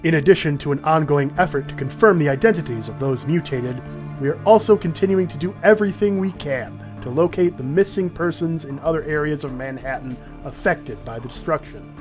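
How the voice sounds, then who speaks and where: A man playing a government official, speaking in a measured, formal way as in a public address for a comic dub. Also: a little high, music in the background, close to an everyday voice. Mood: solemn, official. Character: male government official announcing a mutant quarantine.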